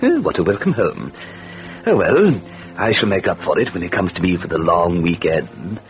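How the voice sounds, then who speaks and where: A man's voice: sits low, big pitch swings, normal speed, a little hiss or hum. A man playing a mocking, droll devilish storyteller in a radio horror drama, with chuckling sardonic asides. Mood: sly amusement. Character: gleefully sinister, mocking male devil storyteller. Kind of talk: theatrical radio narration. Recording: muffled old radio recording with music.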